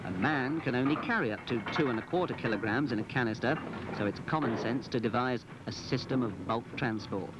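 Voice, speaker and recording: A man's voice: medium pitch, brisk. A man presenting a scripted instructional film as its narrator, in an emphatic, instructive manner. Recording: muffled, noisy 1980s film soundtrack.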